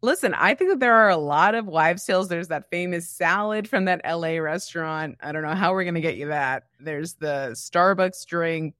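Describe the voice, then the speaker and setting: A woman's voice: fairly low pitch, normal speed, few pauses, fairly bright tone, no echo, studio quality. A woman co-hosting a podcast in casual chat, talking in an emphatic, wry, teasing way. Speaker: podcast co-host.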